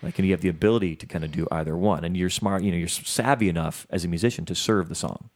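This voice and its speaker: Fast-talking man with a low voice. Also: a little mellow. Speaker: interviewer and show host. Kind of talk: casual music interview.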